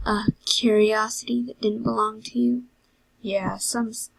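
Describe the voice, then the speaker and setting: Woman acting a husky, sardonic, taunting companion. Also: a little high, dry recording, full character voice, rough recording. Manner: sarcastic, mocking. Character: sardonic, foul-mouthed, bitter, taunting inner companion. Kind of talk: amateur fan audio drama monologue.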